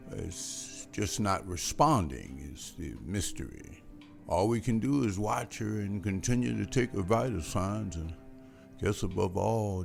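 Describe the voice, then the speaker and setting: A man reading the part of a calm family doctor, who gently explains a coma to the family. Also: low-pitched, gravelly, heavily acted. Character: calm male family doctor, middle-aged. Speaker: doctor character. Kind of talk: amateur table read acting.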